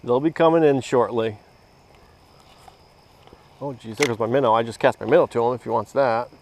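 A man chats casually in an easygoing, halting way while fishing outdoors. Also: medium pitch, a little mellow. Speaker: angler filming a fishing outing. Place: outdoors on a grassy pond bank. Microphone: body-worn action camera near the speaker. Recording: noisy outdoor action camera, nature ambience.

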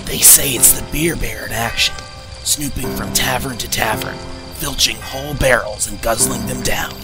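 A man's voice: medium pitch, normal speed, lightly acted. A man playing a matter-of-fact, skeptical monster hunter in an amateur audio drama. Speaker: voice actor. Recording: loud music over the voice.

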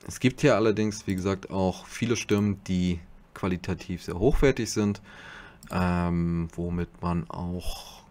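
Man, low voice, casual tutorial host, desktop microphone. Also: steady tone, a little slow, a little mellow. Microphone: desktop USB microphone.

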